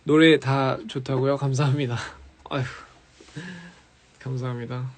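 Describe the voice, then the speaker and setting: A young man with a raspy, hoarse voice, chatting casually as a musician on a live stream and switching between English and Korean. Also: mid-range voice, level tone, rapid-fire. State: hoarse after a recording session.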